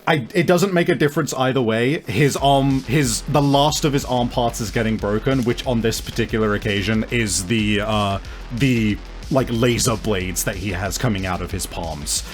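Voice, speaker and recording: A man working as a game master, improvising tabletop game narration in a halting, piece-by-piece way. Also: mid-range voice. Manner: halting, pieces scenes together as they go. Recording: music playing behind the voice. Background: music.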